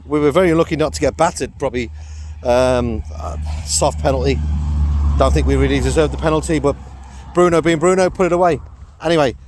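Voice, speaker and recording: Croaky-voiced man vlogging outdoors. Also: on the high side, a little fast, noisy background. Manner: rambling, chatty, lots of fillers and laughs. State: croaky throat. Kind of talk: casual walking vlog. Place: outdoors on a walk with a dog.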